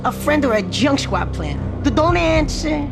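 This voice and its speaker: An actor playing a sleazy, smug mobster thug with a gravelly voice and a mocking manner. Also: male voice, very high voice, sing-song, measured pace, full character voice. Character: taunting, sleazy mobster thug, hotel-running brother. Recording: loud music behind the voice.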